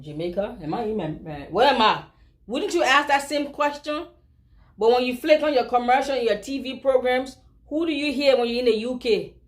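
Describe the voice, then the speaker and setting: A woman from Liberia with a Liberian accent, speaking at a middle pitch. She argues her point as a vlogger, emphatic and pressing her viewers with rhetorical questions. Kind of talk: opinion commentary.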